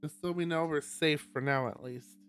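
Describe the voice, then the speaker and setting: A man's voice: a little high, normal speed, fairly bright tone. A man streaming a visual novel, reading first-person fiction aloud. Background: guitar music.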